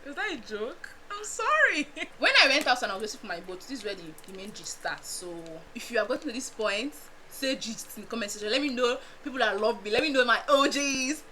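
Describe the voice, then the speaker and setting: A young Nigerian woman with a Nigerian accent, chatting casually to her viewers in an animated storytime vlog, laughing often and making asides. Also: fairly high pitch, a little fast, few pauses, a little hiss or hum, poor audio. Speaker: vlogger.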